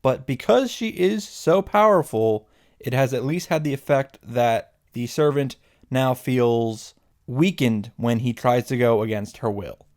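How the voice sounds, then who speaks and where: A man's voice: medium pitch, lively intonation, a little slow, a little mellow. A man hosting a casual, scripted comedic recap, swearing freely and retelling things in an exaggerated, mocking way. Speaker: video host reacting to and summarizing a visual novel.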